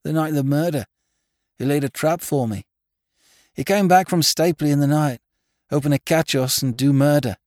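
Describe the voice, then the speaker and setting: A man reading a storybook as a desperate, plain-spoken farmer's wife with a hoarse voice and rustic phrasing. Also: on the high side, normal speed, long pauses, darkish tone, dry recording, clean studio sound, voice pushed up, close to an everyday voice. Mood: stark, fearful.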